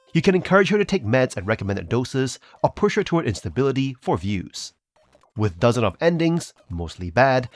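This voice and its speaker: A man hosting a review video, reading a scripted narration in a polished, measured presenter's delivery. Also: medium pitch, no room sound.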